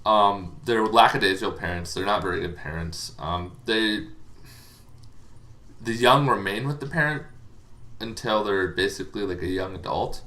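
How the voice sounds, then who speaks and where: Low-voiced man, casual rambling explainer. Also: normal speed, bright, forceful tone. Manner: rambling, hesitant, thinking aloud. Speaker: host explaining tabletop game monsters.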